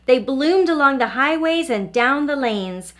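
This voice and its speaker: A woman's voice: high voice, expressive, a little slow, fairly bright tone. A woman reading a children's picture book aloud as a teacher, expressively and addressing young listeners directly.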